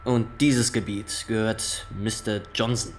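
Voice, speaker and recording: Stiff, officious inspector, pedantic. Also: male voice, fairly low pitch, fairly even intonation, slow, a little hiss or hum. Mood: probing, pedantic. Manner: formal, pedantic, insistent interrogating. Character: stiff, officious young male state inspector questioning farmers. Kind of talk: German radio drama acting.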